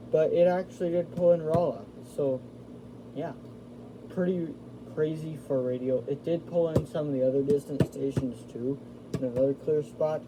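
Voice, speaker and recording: A man's voice: on the high side, background noise. A man giving a casual, hands-on product review in an informal, chatty way, speaking directly to his viewers. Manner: informal, chatty, addresses viewers as "you guys". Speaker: video host reviewing a radio. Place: indoors in a house.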